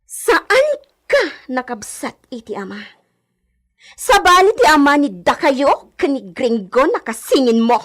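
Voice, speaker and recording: Haughty heiress, very high voice, swooping delivery, slow and imperious, melodramatic radio drama. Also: female voice, big pitch swings, a bit bright, heavily acted. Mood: indignant, scornful. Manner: imperious, emotional. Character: haughty, furious heiress guarding her hacienda inheritance.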